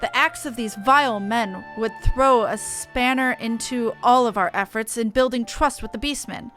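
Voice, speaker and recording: A woman voicing a brisk, officious fantasy-game ally in a formal, declamatory manner. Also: fairly high pitch, fairly bright tone, faint music behind, natural voice. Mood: urgent, rallying.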